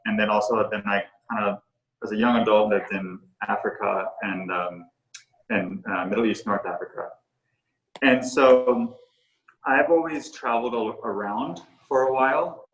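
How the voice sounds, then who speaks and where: A middle-aged man, the co-founder of a coworking space, talking casually and haltingly with many fillers and restarts. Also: on the low side, fairly bright tone. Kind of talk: casual talk on a virtual tour. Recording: echoey room.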